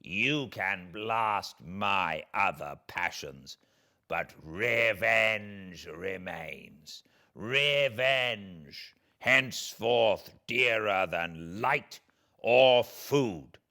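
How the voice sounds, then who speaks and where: A man voicing a vengeful, wretched monster in a classic novel reading, declaiming eloquent threats in drawn-out words. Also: lowish voice, lively intonation, bright, forceful tone, full character voice. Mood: menacing, vengeful.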